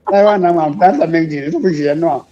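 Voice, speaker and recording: A man's voice: fairly high pitch, a little fast, mellow. An older man with a Taiwanese accent, talking casually and reflectively as a guest author, in a rambling, frank, self-deprecating way. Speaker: author, guest on a radio show. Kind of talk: casual reflective book talk. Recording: dull lo-fi recording.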